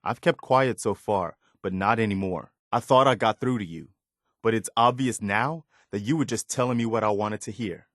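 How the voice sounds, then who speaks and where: A man's voice: lowish voice, normal speed, fairly bright tone, no echo, studio quality, natural voice. A male actor voicing an earnest, weary, loyal militia soldier in a video game, speaking in a plain-spoken, folksy way. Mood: disappointed, stern. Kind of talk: dramatic video game dialogue.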